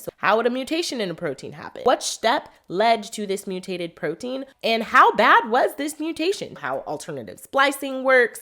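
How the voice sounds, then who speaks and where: A woman's voice: mid-range voice, a bit bright. A young adult woman from Southern California with a Southern Californian accent, tutoring test prep in a loose, conversational way with lots of "like". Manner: conversational, uses "like" and acts out questions to herself. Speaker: MCAT tutor. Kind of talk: unscripted teaching monologue.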